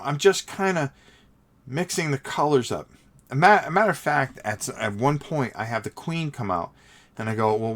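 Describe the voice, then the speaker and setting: A middle-aged man with a cold, chatting to his livestream viewers as he paints, rambling and repeating words. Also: medium pitch. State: sniffly from a cold. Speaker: hobby livestream host. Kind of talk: casual livestream narration while painting.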